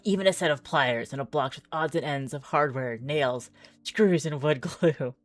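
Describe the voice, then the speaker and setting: Low-voiced woman streaming a game. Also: normal speed, faint music behind. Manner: chatty, thinking aloud with musing asides. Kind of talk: game playthrough commentary, reading aloud.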